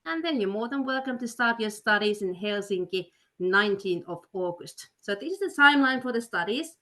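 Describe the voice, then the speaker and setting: A woman's voice: mid-range voice, lively intonation, brisk, bright, forceful tone. A woman hosting an education webinar, upbeat and persuasive, with frequent fillers. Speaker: webinar host, study counselor. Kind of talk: webinar presentation. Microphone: video call.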